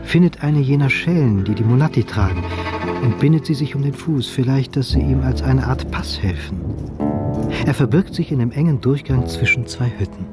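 Male narrator, medium pitch, measured, literary, scripted radio drama, clear music behind.

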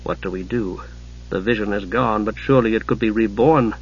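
A man's voice: on the high side, normal speed, a bit bright, dull audio. A man voicing an earnest, honor-bound young knight in a fantasy story, solemn and intense, troubled yet proud. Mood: dazed.

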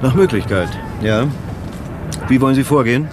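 A man's voice: mid-range voice, lively intonation, normal speed, background noise, close to an everyday voice. A man playing a brash, condescending senior prosecutor, pompous and ironic, in a German radio crime drama. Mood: condescending, brisk.

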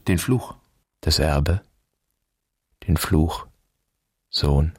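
An extremely deep, husky male voice speaks as the grave inner voice of a haunted son. The delivery is solemn, measured and poetic, in a radio play with a dry, intimate sound. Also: no echo, exaggerated voice. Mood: haunted, bitter. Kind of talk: German radio drama acting, literary monologue.

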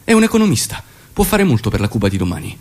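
A man's voice: on the low side, huge pitch swings, rapid-fire, darkish tone, heavily acted. An actor playing a commanding, brusque male revolutionary in emphatic, declamatory stage dialogue. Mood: brisk, decided. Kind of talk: theatrical dialogue acting.

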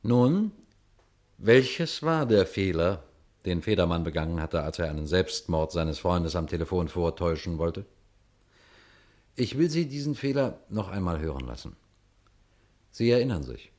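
An actor playing a shrewd, unhurried police detective who narrates his case in a radio crime drama, deliberate and pointed. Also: low voice, expressive, a little mellow. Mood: calm, explanatory. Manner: deliberate, pointed, addresses listeners directly.

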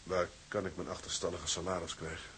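An actor in a radio drama playing a blunt, hot-tempered ship's mate with terse, gruff speech. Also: male voice, low voice, flat delivery, brisk, a bit bright, exaggerated voice. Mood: curt. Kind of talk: Dutch radio drama acting.

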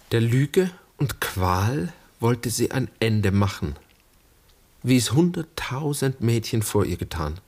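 A man's voice: on the low side, darkish tone, slightly rough, full character voice. An actor playing a grave young man in a radio drama, formal and probing in his speech. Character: grave young man questioning his mother's former lover. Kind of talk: 1960s German radio play acting.

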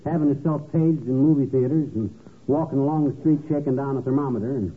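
An actor playing a brash, boastful, wisecracking husband in a folksy, slangy, blustering manner, in a 1940s radio comedy. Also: male voice, medium pitch, fast, natural voice. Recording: tinny, noisy old radio broadcast.

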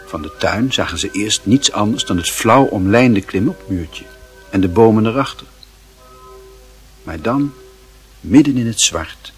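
A man reading scripted literary narration as the narrator of a radio drama. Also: on the low side.

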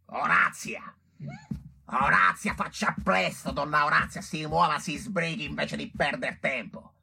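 An actor playing a self-important, agitated doctor who runs a public health office, haranguing and cursing in theatrical radio drama style. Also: male voice, fairly high pitch, unhurried, creaky, pushed and bright, husky, heavily acted. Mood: irritated, commanding. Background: music.

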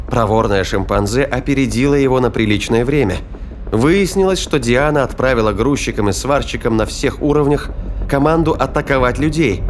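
A man's voice: mid-range voice, slight background noise. A man giving scripted, dramatic narration for a science fiction audio drama.

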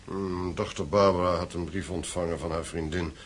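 Casting: actor for a courteous, worried father, deep voice, formal radio drama manner. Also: steady tone, normal speed, natural voice. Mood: polite, concerned. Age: middle-aged. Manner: formal, polite. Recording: old radio play, faint noise.

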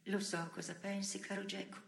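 An actress playing a weary, defiant imprisoned dissident in an intimate theatrical monologue, creaky and breathy, often dropping to a whisper. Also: female voice, mid-range voice, level tone, echoey room, close to an everyday voice. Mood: brooding, bitter. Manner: brooding, drawn-out phrasing.

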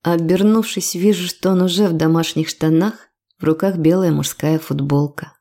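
A woman's voice: fairly low pitch, steady tone, moderate pace, mellow. A woman reading scripted first-person fiction as an audiobook narrator.

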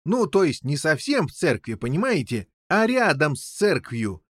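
A man voicing an excitable, muddled king who rambles, stammers and tacks questioning interjections onto his talk in an audiobook story. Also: on the high side, moderate pace, no echo, clean studio sound, voice pushed up. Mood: flustered, explaining.

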